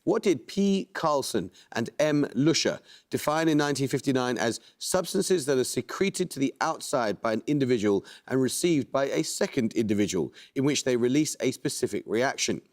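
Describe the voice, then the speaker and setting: A man hosting a quiz show, reading questions and introducing teams in a measured, precise way. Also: mid-range voice, lively intonation. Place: TV studio.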